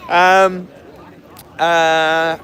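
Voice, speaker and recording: A man hosting a casual post-match podcast, chatting and reading out listener comments. His voice is hoarse and cracking, and he talks in a rambling way, full of fillers and restarts. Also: on the high side, flat delivery, drawn-out words, fairly bright tone, some chatter behind. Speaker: podcast host.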